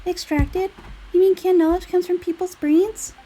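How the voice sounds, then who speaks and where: A woman voicing a squeaky, childlike fairy companion from a video game, curious, blunt and exclamatory. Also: steady tone, moderate pace, mellow, low-quality recording. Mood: curious, puzzled. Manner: exclamatory, talks in third person. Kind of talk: video game character dialogue read aloud.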